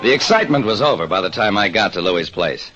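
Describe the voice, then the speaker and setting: A dull, noisy old radio recording of a man acting as an American private detective. He narrates his case in a wry, colloquial way, with a steady temper and very animated intonation. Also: lowish voice, quick pace, close to an everyday voice. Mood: brisk, steady.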